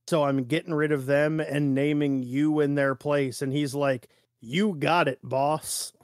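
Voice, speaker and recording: A man's voice: a little high, steady tone, unhurried, no room sound, clean studio sound. A man co-hosting a podcast, talking casually and in a matter-of-fact, colloquial way. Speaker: podcast co-host. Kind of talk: casual chat, discussion.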